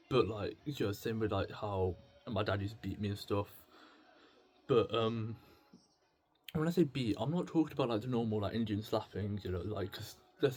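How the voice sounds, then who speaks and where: A young man with a slightly husky voice, rambling through a self-deprecating, slangy, sweary confessional video diary. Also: mid-range voice, darkish tone. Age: young adult. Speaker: solo video diarist. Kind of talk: rambling, confessional casual chat to camera.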